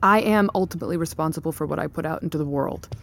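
An actress playing a resolute, controlled tech chief in an audio drama, firm, measured and formal in delivery. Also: female voice, low voice, gravelly. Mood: defensive, resolute. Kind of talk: naturalistic audio drama acting.

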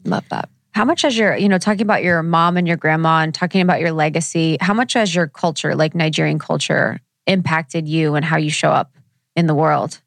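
Low-voiced woman host asking reflective questions. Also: fairly quick, no room sound. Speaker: interview host. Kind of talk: casual interview.

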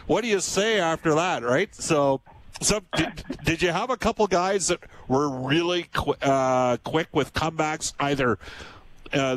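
A man's voice: fairly high pitch, a bit bright, rough recording. A man from Canada hosting a casual chat, telling rambling anecdotes full of fillers. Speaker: host.

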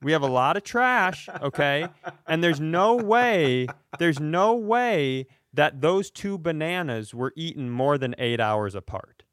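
A man co-hosting a comedy podcast, telling stories in casual banter with animated, mock-serious, sweary rants. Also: on the high side, measured pace, smooth, no echo. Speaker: podcast co-host.

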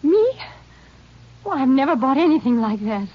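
An actress playing a frightened, distraught rancher's wife, pleading and suspicious, in an old-time radio western. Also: female voice, high-pitched, sing-song, normal speed. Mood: desperate pleading. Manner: overwrought, pleading delivery. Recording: muffled vintage radio recording.

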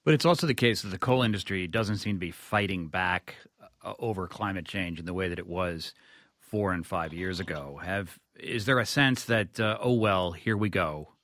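A man's voice: deep voice, normal speed, a bit bright, no room sound. Male radio host, dry close sound. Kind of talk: news interview hosting.

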